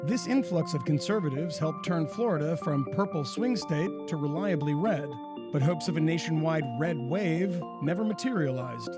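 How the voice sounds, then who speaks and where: A man reading a wry, measured news narration. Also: a little high, lively intonation, loud music behind the voice.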